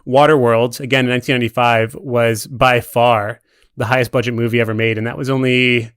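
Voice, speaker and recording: A man with a medium-pitched voice, recorded dry without room sound. He chats casually about films as a guest, measured and analytical, qualifying his points as he goes. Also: little pitch movement, fairly bright tone, clean tone, no room sound. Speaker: podcast guest. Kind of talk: casual film discussion.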